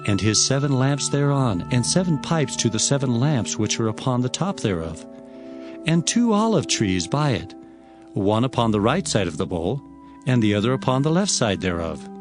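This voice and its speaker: A man playing an earnest prophet who narrates his visions in expressive, deliberate storytelling, as in a dramatized scripture reading. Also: medium pitch, music in the background, close to an everyday voice. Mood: awed, earnest.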